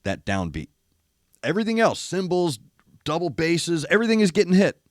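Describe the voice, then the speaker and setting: An older man, a former rock radio DJ, hosts a music reaction show. He is losing his voice and sounds gravelly, speaks emphatically, and mimics drum hits while talking. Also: on the high side, sing-song, moderate pace, dry recording. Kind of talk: casual music commentary. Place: studio in east Nashville.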